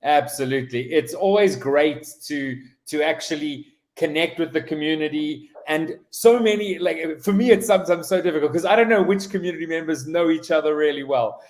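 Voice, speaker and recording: A man hosting a live stream interview over a video call, talking haltingly with many restarts and fillers. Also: a little high, darkish tone. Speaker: host.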